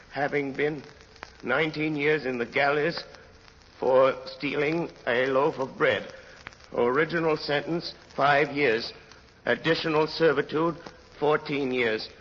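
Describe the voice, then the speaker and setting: An actor in an old radio drama playing a desperate, embittered convict who stammers and repeats himself in a halting way. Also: male voice, fairly high pitch, fairly even intonation, close to an everyday voice. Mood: slow, bitter. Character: desperate, embittered male convict, humble then defiant. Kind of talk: 1930s radio drama acting. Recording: noisy old radio recording, muffled, reverberant hall.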